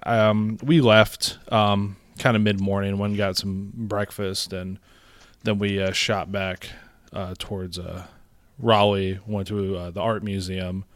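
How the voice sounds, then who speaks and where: Low-voiced rambling young man, dry close sound. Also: no echo. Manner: rambling, profane, laughs while telling stories. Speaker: podcast co-host. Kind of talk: casual chat.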